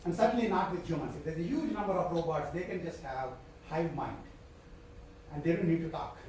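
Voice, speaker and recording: A man's voice: a little high, fairly quick, a little mellow, a little hiss or hum. A man with an Indian accent, a professor giving a live university lecture in a digressive way, trailing into fillers and short chuckles. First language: Telugu. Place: lecture hall. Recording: echoey lecture hall from far off.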